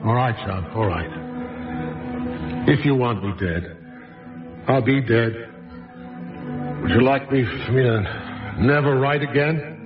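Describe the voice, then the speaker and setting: A genial, theatrical man of letters played by a male actor spins his tale for a radio play in florid, measured phrases. His voice sits at an ordinary male pitch, in a dull, lo-fi recording with music clearly behind it. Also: natural voice. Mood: earnest pleading. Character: once-celebrated male writer, genial storyteller, calm and theatrical. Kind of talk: radio drama acting.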